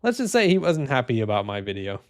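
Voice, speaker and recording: A man streaming casually, reading chat comments in a low, even, unhurried voice with lots of fillers and restarts. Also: clear, resonant tone, soft background music. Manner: laid-back, rambling, many fillers and restarts.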